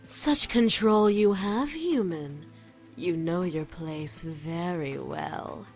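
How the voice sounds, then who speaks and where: A woman's voice: lowish voice, expressive, darkish tone. Smug, seductive demon woman over music. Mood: smug, coaxing. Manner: mocking, drawn-out, condescending. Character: smug, seductive adult female demon, taunting and haughty. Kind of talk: visual novel game acting. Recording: game audio with music behind.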